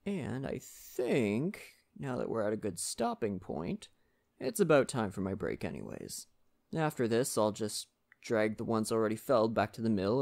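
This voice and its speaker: A man playing a friendly, folksy lumberjack in a soft-spoken ASMR roleplay, talking gently and reassuringly to the listener. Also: mid-range voice, vocal fry, exaggerated voice. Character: friendly Canadian lumberjack, gentle nature-loving father.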